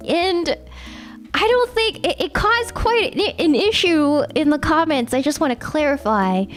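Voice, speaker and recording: A young woman with a Canadian accent chats and rambles on a livestream, talking straight to her viewers. Her voice sits high and leaps around with lively swings in pitch. Soft music plays faintly behind her. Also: a little slow. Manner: chatty, rambling, addresses viewers as Cookie. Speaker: streamer. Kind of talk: casual chat with chat readers.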